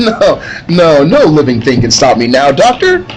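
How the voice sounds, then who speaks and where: A man's voice: on the high side, huge pitch swings, a little slow, low-quality recording. A man acting a grandiose, gloating villain bent on ruling the world, in an old-time radio drama style. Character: male megalomaniac mad villain seeking world domination.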